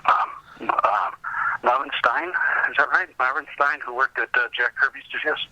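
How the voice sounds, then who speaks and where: A man telling anecdotes as a casual interview guest, halting and rambling as he recalls details. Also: medium pitch, fairly even intonation, bright, forceful tone, highs cut off. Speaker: podcast guest, comics researcher.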